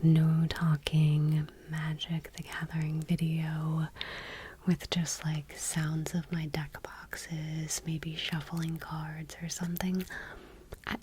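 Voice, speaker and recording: A woman speaking in a soft, breathy near-whisper in an ASMR style, gentle and unhurried, close to the microphone. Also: sits low, slight background noise. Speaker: ASMR channel host. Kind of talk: soft-spoken ASMR, reading trading cards aloud.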